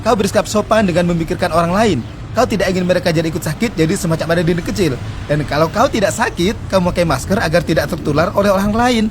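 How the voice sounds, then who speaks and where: A man in his forties talks quickly and earnestly as he explains customs in an interview. His voice sits high for a man and comes at a fast clip. Faint noise runs behind him. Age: 40s. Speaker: interview guest. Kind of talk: explaining customs in casual conversation.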